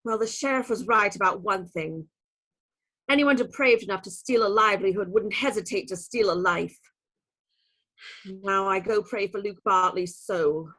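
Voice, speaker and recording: A woman's voice: medium pitch, moderate pace, fairly bright tone, close to an everyday voice, rough recording. A woman playing a stern, devout churchwoman in a radio play, prim and reproving. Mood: grim. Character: stern, devout female churchwoman running an orphanage.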